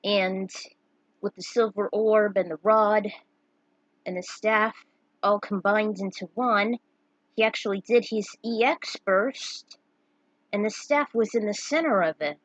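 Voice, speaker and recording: A woman's voice: medium pitch, a little slow, no echo, highs cut off. A woman narrating a story in a casual, amateur way, with informal asides to the listener. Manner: informal asides, interrupting own reading to comment. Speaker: narrator reading own fan fiction.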